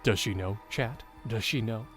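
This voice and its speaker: A man's voice: fairly low pitch, normal speed, faint music behind. A man giving slangy, joking, casual livestream commentary on a game. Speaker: streamer playing a visual novel.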